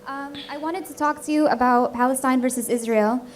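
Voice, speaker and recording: A woman's voice: high voice, fairly even intonation, over music. A young woman, a college student, arguing defensively in a campus debate and tripping over her words. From United States. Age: young adult. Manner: defensive, hurried, stumbling.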